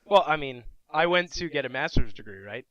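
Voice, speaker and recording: Young guy on a podcast, bright lively voice, laughs a lot, goes on explaining stuff. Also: medium pitch, brisk, lo-fi sound. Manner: playful, laughs often, explains at length. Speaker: podcast co-host. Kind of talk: casual chat.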